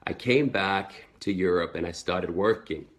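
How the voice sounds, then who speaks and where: A man's voice: low-pitched, a little slow. A Swedish man in his twenties with an American accent, talking earnestly and motivationally to followers in a live video, with many fillers. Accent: American, learned in the United States. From Sweden. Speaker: network marketing entrepreneur.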